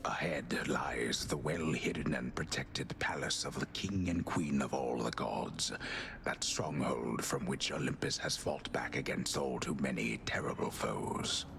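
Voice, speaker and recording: A solemn storyteller of myth, with an extremely low voice full of creak. The delivery is slow and portentous, like scripted game narration. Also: male voice, fairly bright tone, exaggerated voice. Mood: grave, portentous. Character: solemn male narrator of a mythic tale.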